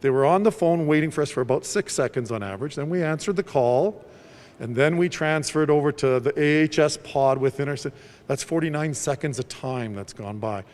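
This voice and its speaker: A man's voice: on the high side, fairly quick, darkish tone. Man explaining procedures in an echoing hall. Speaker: emergency dispatch official. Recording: long echoing reverb of a hall.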